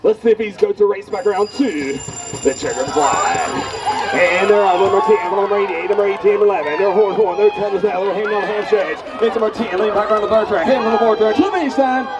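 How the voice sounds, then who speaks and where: Race announcer guy, high voice, calling it over a pa from far off, big crowd noise. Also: fast. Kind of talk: race-call commentary over a PA. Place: outdoors at a farm festival. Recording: distant PA amid loud outdoor crowd.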